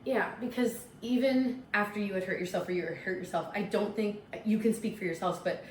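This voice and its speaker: A woman in her early thirties chatting casually in an animated, emphatic way. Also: medium pitch, fairly quick. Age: early 30s. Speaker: talk show participant, roller derby skater. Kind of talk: casual chat. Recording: roomy echo, faint background noise.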